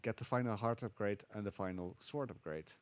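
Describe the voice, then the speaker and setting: Relaxed man explaining a speedrun. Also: on the low side, fairly quick. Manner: relaxed, explaining game tricks with fillers. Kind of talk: live speedrun commentary.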